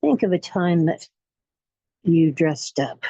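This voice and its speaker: A woman leading a guided relaxation meditation in a soothing, unhurried way, pausing between short phrases. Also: lowish voice, lively intonation, mellow, slightly rough, dry recording, lo-fi sound. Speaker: guided imagery facilitator.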